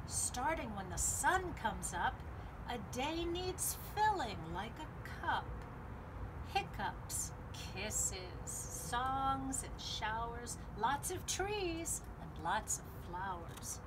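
High, animated woman reading to children. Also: noisy background. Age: middle-aged. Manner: lively, sing-song, with drawn-out deliberate phrasing. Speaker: library storytime host. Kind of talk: picture-book read-aloud for children. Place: outdoors on a home patio.

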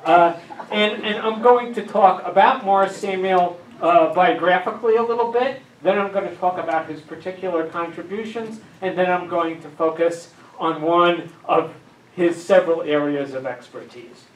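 Older male lecturer, higher voice, halting delivery with many fillers, heard in an echoey hall from a distant camera. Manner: many filler uhs, dry asides. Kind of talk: academic lecture. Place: dim lecture room with projector. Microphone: handheld mic. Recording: echoey room, camera far off.

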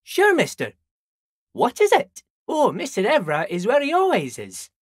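A man's voice: extremely high pitch, huge pitch swings, moderate pace, breathy edge, no room sound, clean studio sound, heavily acted. An actor playing a friendly, simple-minded old dockworker in a video game, folksy and rambling, repeating himself as he chats. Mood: friendly, chatty. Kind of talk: video game character dialogue.

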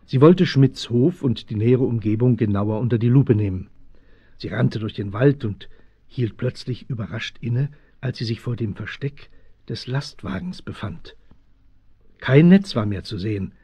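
Casting: male storyteller, soft dark tone with husky edge, scripted audio drama narration. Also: medium pitch, lively intonation, moderate pace, dry recording, highs cut off. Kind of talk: scripted storytelling narration for a German radio play.

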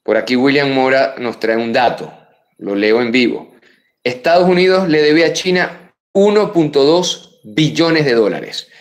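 Venezuelan male host in his thirties, mid-pitched, punchy live commentary that leaps up on key names and falls to soft asides, webcam at a desk. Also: animated, unhurried. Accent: Venezuelan Spanish. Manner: emphatic, rises sharply on key names, drops to quiet asides. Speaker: political show host. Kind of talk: live political commentary reading viewer messages. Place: room with full bookshelves.

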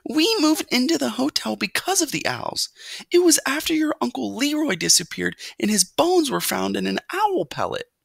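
Shrill, fretful mother mouse. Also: big pitch swings, moderate pace, gravelly, husky, dry recording, clean studio sound, exaggerated voice. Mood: pleading. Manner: fussing, pleading, worried. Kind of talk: children's storybook character voice.

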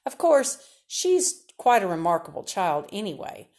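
A woman's voice: mid-range voice, big pitch swings, a little slow, darkish tone. A woman reader voicing a prim, boastful neighbor mother bragging about her child.

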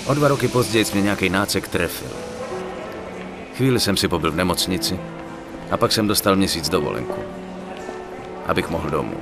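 A gruff young veteran, low-pitched, dry and blunt in his talk. Clear music plays behind this game dialogue. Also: animated, long pauses. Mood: reflective. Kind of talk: video game narration and dialogue.

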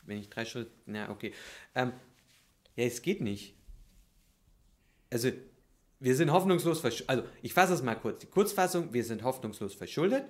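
A man playing a distraught young heir to an indebted family plant in an improvised stage radio play, halting and stammering. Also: mid-range voice, big pitch swings, a little mellow, natural voice. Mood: downcast. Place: small curtained theatre stage. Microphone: stand microphone.

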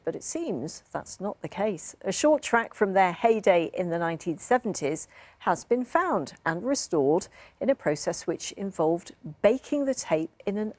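A woman's voice: mid-range voice, no room sound. A middle-aged woman presenting scripted news in a television studio.

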